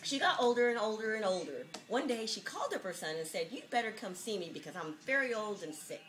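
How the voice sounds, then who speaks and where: A woman's voice: medium pitch, animated, fast, a bit bright. A woman giving a mock-dramatic reading of a children's picture book, with jokey, sardonic, giggly asides, on an amateur home video.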